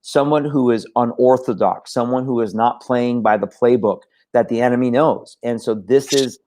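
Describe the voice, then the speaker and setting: A man's voice: mid-range voice, moderate pace, warm tone, a little hiss or hum. A man from Iran, a guest on a talk show, speaking earnestly with frequent stammered restarts. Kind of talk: casual talk show conversation.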